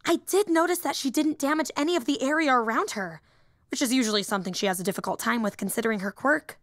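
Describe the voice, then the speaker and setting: A woman's voice: high voice, quick pace, a bit bright, dry recording, clean studio sound. A woman voicing a young, nerdy female hero fan and teacher in an audio roleplay, eager, rambling and easily flustered. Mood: earnest, rambling.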